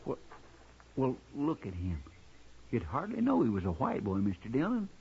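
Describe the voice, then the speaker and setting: An actor playing a soft-hearted, folksy deputy sidekick in an old time western radio drama. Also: male voice, fairly low pitch, wildly swooping pitch, moderate pace, vocal fry, heavily acted. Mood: astonished, pitying. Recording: muffled old radio with faint noise.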